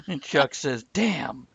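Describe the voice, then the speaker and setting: A man's voice: very high-pitched, vocal fry, bright, forceful tone, breathy edge, no echo. A man hosting a live-streamed video chat show, joking, laughing and chatting with viewers. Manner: jokey, laughs often, chats to viewers. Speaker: host. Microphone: video call.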